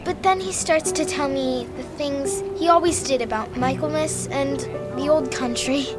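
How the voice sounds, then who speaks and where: Woman as high-voiced, hesitant young schoolgirl. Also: loud music behind the voice, close to an everyday voice. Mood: confiding. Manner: hesitant, halting, with many trailing pauses. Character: young Isabel, a troubled, confiding schoolgirl. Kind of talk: full-cast audio drama acting.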